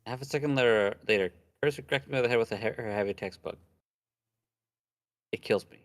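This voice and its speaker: A man's voice: mid-range voice, fast talker, dry recording, clean studio sound. A man reading a visual novel aloud on a stream and chatting casually about it, with crude, slangy joking. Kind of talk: casual playthrough chat mixed with reading aloud.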